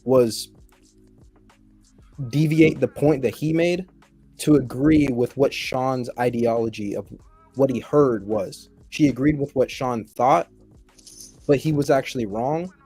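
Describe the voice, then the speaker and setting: A man on a panel explaining his view in a measured way. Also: medium pitch, warm tone, husky, soft background music.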